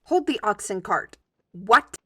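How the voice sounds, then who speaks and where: A woman acting a proud, sharp-tongued steward in a fantasy audio drama, with a sarcastic, theatrical manner. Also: mid-range voice, big pitch swings, measured pace, breathy edge, exaggerated voice. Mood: indignant, sarcastic.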